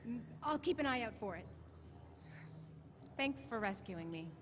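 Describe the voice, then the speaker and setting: A woman's voice: on the high side, lively intonation, measured pace. A woman playing a plucky, streetwise 1920s sidekick in a staged drama, plain-spoken, slangy and sassy. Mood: plucky, wry. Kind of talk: audio-drama style stage acting. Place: large convention hall stage. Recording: echoey hall stage recording with noise.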